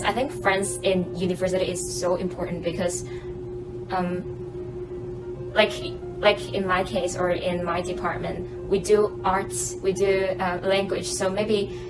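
A woman's voice: fairly low pitch, level tone, measured pace. A young adult woman, a student guest who chats easily and laughs often. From Hong Kong. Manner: chatty, laughs often, hesitant fillers. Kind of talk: podcast interview. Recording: distant mic with loud music bed.

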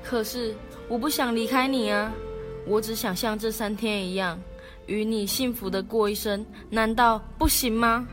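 A woman's voice: on the high side, fairly even intonation, normal speed, a bit bright, natural voice. A woman playing a devoted young man in love in an amateur radio drama, earnest and declamatory, with a Taiwanese Mandarin accent. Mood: pleading. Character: devoted, heartbroken young male lover. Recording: background music under the voice.

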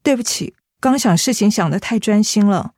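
A woman's voice: medium pitch, normal speed, no echo, studio quality, close to an everyday voice. An actress playing a sweet young female office worker, polite and a little guarded, in a radio drama. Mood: evasive, polite. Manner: polite, soft-spoken, evasive.

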